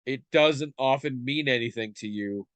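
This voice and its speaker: A man's voice: medium pitch, moderate pace, a bit bright, clean tone, no room sound, muffled recording. A man in his forties co-hosting a podcast. He is stuffed up and talks in a rambling, self-deprecating way with many restarts. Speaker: podcast co-host. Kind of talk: casual chat.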